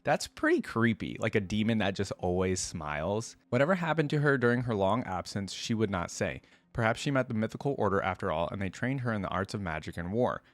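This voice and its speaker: A man's voice: lowish voice, big pitch swings, brisk, no echo. A man hosting a gaming reaction video, moving between casual, think-aloud commentary and reading text aloud in a conversational way. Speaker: video host.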